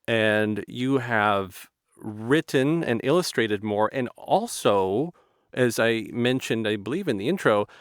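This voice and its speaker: Guy doing an interview, smooth voice, no room echo, polite, agrees a lot. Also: mid-range voice, fairly bright tone, studio quality. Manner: polite, agreeable, measured segues. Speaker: interview host. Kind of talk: conversational interviewing.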